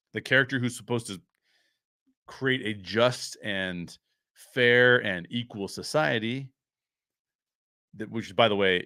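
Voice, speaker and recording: A man's voice: mid-range voice, pushed and bright, no room sound. Man giving emphatic pop-culture commentary, dry sound. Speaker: host of a pop-culture review show. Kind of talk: casual opinionated commentary.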